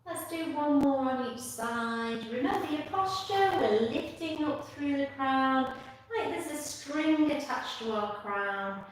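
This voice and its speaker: Unhurried woman teaching tai chi, very echoey. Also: high-pitched. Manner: calm, measured cueing with repeated phrases. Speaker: tai chi instructor leading a class. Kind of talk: live exercise class instruction, step-by-step cues. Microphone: far from the speaker. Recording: distant mic in echoey room, faint noise.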